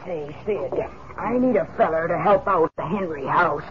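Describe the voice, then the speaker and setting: A woman playing a folksy, warm-hearted older hotelkeeper in a 1950s radio western. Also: lowish voice, normal speed, close to an everyday voice. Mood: kindly. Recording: muffled, faintly noisy 1950s radio recording.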